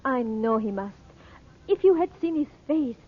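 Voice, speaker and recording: Husky, high-voiced princess on tinny radio. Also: female voice, expressive. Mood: intent, questioning. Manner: formal, halting, breathlessly earnest phrasing. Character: anxious, devoted exotic Egyptian princess, melodramatic heroine. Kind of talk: 1940s radio serial melodrama acting. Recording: tinny, band-limited vintage radio recording.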